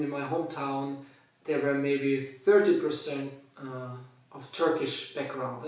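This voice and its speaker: A man from Austria with an Austrian German accent, a native German speaker, telling his personal story as a monk in a hesitant way, searching for words and restarting sentences. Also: fairly high pitch. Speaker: Hare Krishna monk. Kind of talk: personal testimony to camera. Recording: echoey room, voice from a distance.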